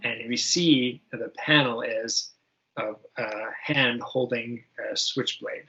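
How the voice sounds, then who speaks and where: A man's voice: mid-range voice, steady tone, takes it slowly, pushed and bright, highs cut off, faint music behind. A man running a tabletop game as game master, casually prompting his players with questions.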